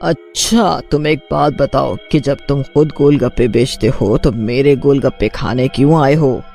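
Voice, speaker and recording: A man's voice: mid-range voice, a little slow, creaky, warm tone, faint music behind. A man voicing a vengeful witch girl who sells street snacks, stern and confrontational, in a cartoon story. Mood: wary.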